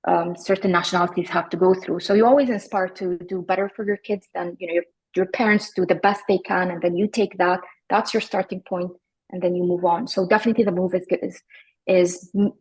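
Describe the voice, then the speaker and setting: A woman in her forties, from Iraq, talking reflectively as an interview guest, often pausing with fillers and restarting her sentences. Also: lowish voice, husky, low-quality recording. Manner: thoughtful, many fillers and restarts.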